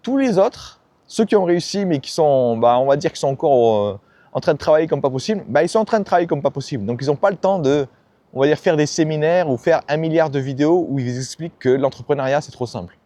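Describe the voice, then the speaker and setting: A man's voice: fairly high pitch, lively intonation, quick pace, mellow, dry recording. A man giving a blunt, slangy motivational talk about ambition and failure, often acting out the people he quotes. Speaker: self-help YouTuber. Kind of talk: casual motivational monologue.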